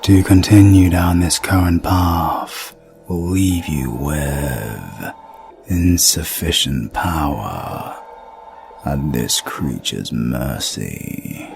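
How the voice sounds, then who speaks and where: An ominous, ancient-sounding entity in an audio drama. The voice is low and full of gravel. He speaks with calm, formal, cryptic weight, with music clearly behind him. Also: drawn-out words, full character voice. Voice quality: deep, gravelly. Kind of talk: audio roleplay acting.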